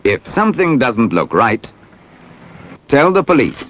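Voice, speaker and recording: A man's voice: mid-range voice, sing-song, measured pace. A British man narrating a public information film from a script. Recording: faintly noisy old film soundtrack.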